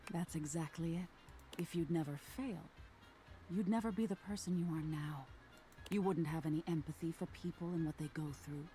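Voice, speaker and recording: A woman voicing an eerie nightmare spirit in a video game, telling a soft, ominous tale in a hushed, whispery voice. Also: low-pitched, soft background music, natural voice. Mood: gentle. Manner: slow, soothing, ominous storytelling. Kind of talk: game character narration.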